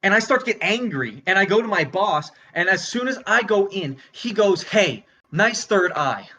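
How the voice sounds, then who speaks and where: A man acts out a struggling stand-up comic with a high, bright voice. He stammers and halts through his act, flustered and exasperated, a volatile performer on a short fuse in a dramatic script read. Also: animated, moderate pace, exaggerated voice. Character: flustered, volatile failing male stand-up comedian. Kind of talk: dramatic screenplay table read, stand-up monologue.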